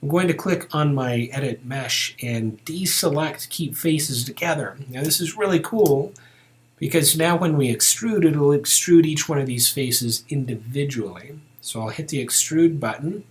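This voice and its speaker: A man giving an informal step-by-step software tutorial, thinking aloud as he works. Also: on the high side, unhurried.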